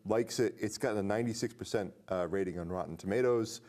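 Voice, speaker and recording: A man hosting a casual movie review podcast, talking conversationally and reading aloud with animated emphasis. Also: lowish voice, brisk. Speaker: podcast host.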